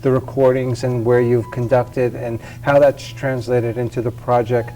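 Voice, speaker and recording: A man hosts an interview in a warm, mellow voice, friendly and a bit rambling, with frequent fillers as he frames his questions. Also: medium pitch, flat delivery, moderate pace, a little hiss or hum, poor audio. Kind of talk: interview hosting.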